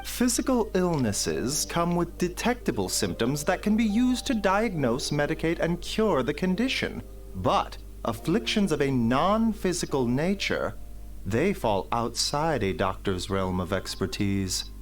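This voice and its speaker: A man voicing a gentle, thoughtful physician in a video game, measured and articulate, with music behind him. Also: fairly high pitch, sing-song. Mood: grave, reassuring. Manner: measured, articulate, drawn-out musing.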